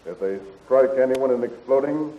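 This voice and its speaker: An actor playing a deferential palace underling in a 1930s radio adventure serial. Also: male voice, mid-range voice, moderate pace, a little hiss or hum, close to an everyday voice. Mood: solemn. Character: deferential male palace underling, plainly reporting to superiors. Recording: muffled 1930s radio recording.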